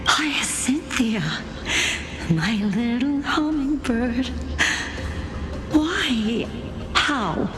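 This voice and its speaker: A woman voicing a dignified, loving grandmother who is the spirit of a warrior in a story game, solemn and measured. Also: high-pitched, lively intonation, heavily acted. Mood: bewildered, tender. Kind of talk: voiced game story dialogue. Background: music.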